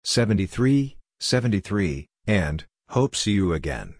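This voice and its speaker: Low-voiced man reading practice phrases, close and dry. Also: very animated, no room sound. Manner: measured, spelling out letters and digits one by one. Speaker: narrator of a Morse code practice drill.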